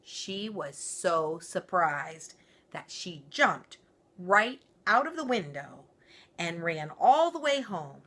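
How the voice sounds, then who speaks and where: Lively, slow-paced woman storyteller. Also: on the low side. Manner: informal, playful, animated. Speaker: storyteller for children. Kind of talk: expressive read-aloud with chatty asides.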